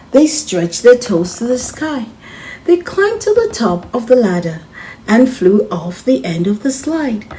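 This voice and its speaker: Expressive, lively woman reading a storybook. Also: mid-range voice, a little hiss or hum, low-quality recording. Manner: expressive, addresses children as friends. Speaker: read-aloud host and narrator. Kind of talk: children's storybook read-aloud.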